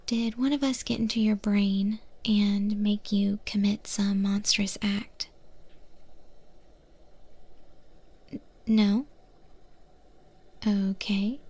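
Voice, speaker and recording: A woman acts out a female void monster who runs a kitchen, wry and easygoing. Her voice is a breathy, husky whisper with a dark, relaxed tone and a gravelly fry. She takes her time, pausing thoughtfully as she mentors the listener in an ASMR roleplay. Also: medium pitch. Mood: puzzled, probing.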